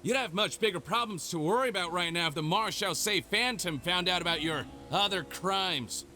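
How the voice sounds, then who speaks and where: A man's voice: sits high, normal speed, pushed and bright. An actor playing a cold, calculating male schemer who talks down to his former partners, with game music behind. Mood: smug, cold. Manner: smug, calculating, dismissive.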